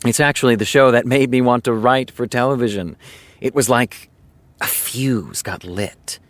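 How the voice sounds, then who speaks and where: A man playing an easygoing, earnest TV showrunner in an audio drama, confiding in casual storytelling with dramatic pauses. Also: medium pitch, no room sound, lightly acted. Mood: earnest, nostalgic. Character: easygoing male TV showrunner, chatty earnest raconteur. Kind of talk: audio drama acting, naturalistic dialogue.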